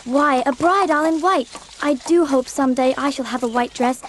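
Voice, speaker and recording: A dreamy young orphan girl acted by a woman chatters away in a high voice. She is eager and gushing, full of exclamations, as heard in a dramatised storybook. There is faint noise behind her. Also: normal speed, lightly acted. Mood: delighted. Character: eager, talkative, dreamy eleven-year-old orphan girl. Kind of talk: audiobook dramatisation acting.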